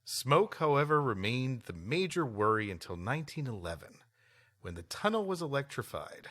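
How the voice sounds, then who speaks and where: A man's voice: mid-range voice, sing-song, normal speed, pushed and bright. A man co-hosting a comedy podcast, mixing casual chat with reading a story aloud and joking along dryly. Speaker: podcast co-host and story reader.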